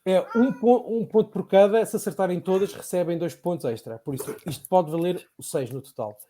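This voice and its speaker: A young man with a European Portuguese accent, hosting a casual quiz among friends, briskly reading out questions and scores. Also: sits high, warm tone, slight background noise. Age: young adult. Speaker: quiz host.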